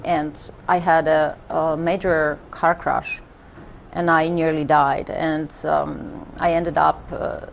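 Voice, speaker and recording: A woman from Poland with a Polish accent, a spiritual teacher talking in an interview, halting with many fillers and restarts. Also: low-pitched, vocal fry, a little hiss or hum. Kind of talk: interview conversation.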